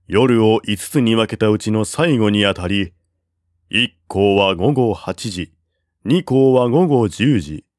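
Male narrator, middle pitch, unhurried storybook reading, dry booth sound. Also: darkish tone, no room sound. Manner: measured, deliberate reading with raised voice for quoted generals. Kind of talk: scripted reading of a classic war novel.

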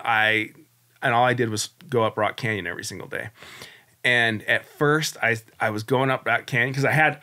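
A man's voice: medium pitch, pushed and bright. A man in his forties, a guest on a podcast, telling his story in a candid, reflective, rambling way. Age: 40s. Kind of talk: casual interview storytelling.

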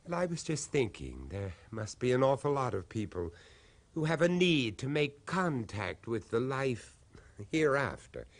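An actor playing a grieving 19th-century father searching for his lost son in a 1970s radio horror play, speaking gravely and deliberately. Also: medium pitch, big pitch swings. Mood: grave, intense. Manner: grave, dramatic, deliberate phrasing.

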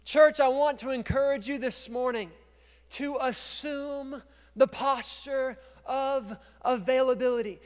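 A man in his thirties preaching a sermon as pastor on a church stage, speaking into a handheld mic and swinging from quiet to loud. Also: very high-pitched. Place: large dark church stage. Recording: long reverb of a large church hall.

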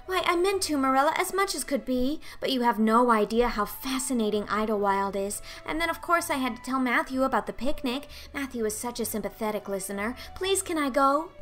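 An excitable young storybook girl, acted by a woman, chattering in flowery phrases. She is dreamy and dramatic, gushing breathlessly over music. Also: sits high, a little fast, hardly any pauses, voice pushed up. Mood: eager pleading. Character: excitable, dreamy, talkative eleven-year-old orphan girl. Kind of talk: audiobook character acting.

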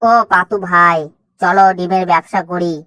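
Cartoon monkey sidekick, played by a woman, naive, timid and eager to please, sharp bright voice, dry sound. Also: fairly low pitch, flat delivery, normal speed, dry recording. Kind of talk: cartoon voice acting.

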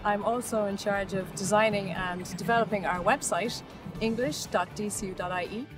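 A woman's voice: medium pitch, moderate pace. A woman working as a marketing coordinator, speaking in an upbeat, scripted way for a promotional video. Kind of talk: promotional talking-head. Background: background music.

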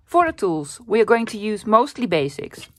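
A woman from the Netherlands with a Dutch accent, hosting a casual, chatty paper craft tutorial at her desk and often pausing with fillers. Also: mid-range voice, very animated, fairly bright tone. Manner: chatty, self-deprecating, with frequent fillers. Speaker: craft channel host.

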